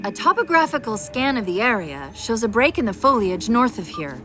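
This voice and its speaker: A female AI companion speaks in a fairly high voice. She is calm and analytical, guiding a soldier through a video game, with music clearly behind her. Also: moderate pace. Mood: focused.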